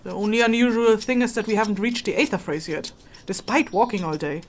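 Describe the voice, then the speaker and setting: A practical young woman guide in a fantasy audio drama, acted by a woman. She speaks at a middling pitch, matter-of-fact and exasperated, with weary sighs and a faint noise behind her. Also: fairly quick, lightly acted. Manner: sighing, dry, matter-of-fact.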